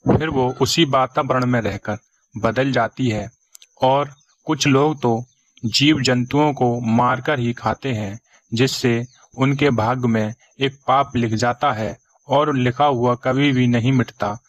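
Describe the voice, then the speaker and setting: A man playing a benevolent, fatherly God who speaks in a measured, solemn, instructive way in a cartoon moral story. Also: medium pitch, steady tone, dull audio, close to an everyday voice. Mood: gently instructive. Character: benevolent male God, fatherly, patient, reassuring.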